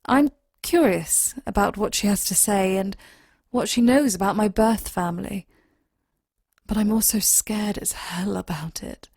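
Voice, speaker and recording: A woman playing a reserved, anxious starship lieutenant in an audio drama, with a husky voice and a hesitant, thoughtful manner. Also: mid-range voice, a little slow, darkish tone, no room sound, clean studio sound. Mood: reflective. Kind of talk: audio drama acting, personal log and dialogue.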